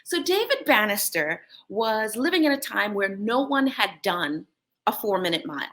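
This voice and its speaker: Bright, lively American woman host. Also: medium pitch, normal speed. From United States. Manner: animated, laughs often. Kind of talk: motivational talk.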